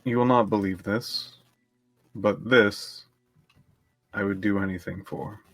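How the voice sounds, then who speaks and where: A man playing in a tabletop role-playing game, speaking in a measured, calm way with hesitant pauses. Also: fairly low pitch, no room sound, faint music behind. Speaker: player.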